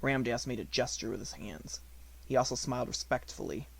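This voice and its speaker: A man's voice: medium pitch, lively intonation, fairly quick, creaky, slight background noise. A man reading a classic children's novel aloud as scripted audiobook narration. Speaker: audiobook reader.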